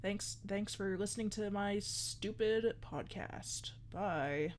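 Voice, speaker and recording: A woman's voice: mid-range voice, slow pace, faint music behind. A woman hosting a horror comedy fiction podcast, rambling along with lots of fillers. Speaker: podcast host playing a restaurant worker. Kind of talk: scripted horror comedy monologue.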